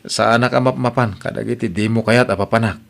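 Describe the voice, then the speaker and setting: A man's voice: medium pitch, fast talker, vocal fry, close to an everyday voice. A man playing a weary, defensive young husband in an audio drama. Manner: defensive, tired.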